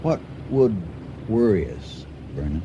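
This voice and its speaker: An actor playing a polite older farmer at sea in a radio drama, hesitant, understated and suspicious. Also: male voice, fairly low pitch, sing-song, takes it slowly, mellow, muffled recording, a little hiss or hum. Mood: wary, suspicious.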